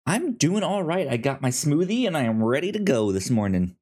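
A man hosting a casual review podcast, speaking in halting, conversational phrases that often double back on repeated words. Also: fairly high pitch, sing-song, darkish tone. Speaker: podcast host.

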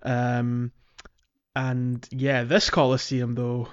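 A man's voice: medium pitch, monotone, drawn-out words, clear, resonant tone, muffled recording. A man co-hosting a podcast, chatting casually about a video game. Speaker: podcast co-host.

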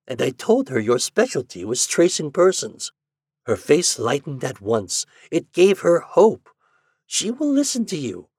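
Man voicing a fretful old doctor, swooping pitch. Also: sing-song, moderate pace, gravelly, slightly rough, no echo, clean studio sound, full character voice. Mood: eager, insistent. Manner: earnest, pleading, emphatic. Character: kindly, fretful, eager old male doctor. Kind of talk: audiobook character dialogue.

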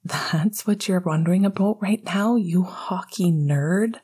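A woman whispering the lines of a teasing young man, soft and wry, in a breathy voice. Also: sits high, measured pace.

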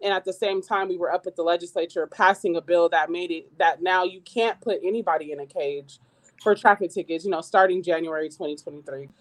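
A woman guest on a radio talk show with a squeaky voice, speaking earnestly as a community organizer, with many fillers and restarts. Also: fairly quick, poor audio. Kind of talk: radio interview.

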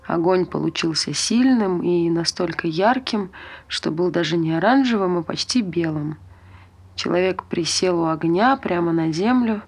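A woman reading scripted literary narration for an audio play, telling the story in measured short phrases. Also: lowish voice, husky.

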